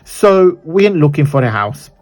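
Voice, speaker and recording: A man from southern Italy with an Italian accent and a croaky voice, hosting a chatty, rambling crafting tutorial. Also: on the high side, big pitch swings, normal speed. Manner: rambling, chatty, self-correcting.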